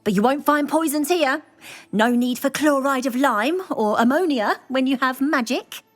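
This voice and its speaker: A woman's voice: sits high, moderate pace, faint music behind, natural voice. A woman playing a flamboyant, self-important hair salon owner in a video game, theatrical, gushing and drawling for emphasis. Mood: coaxing, chatty. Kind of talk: video game character acting.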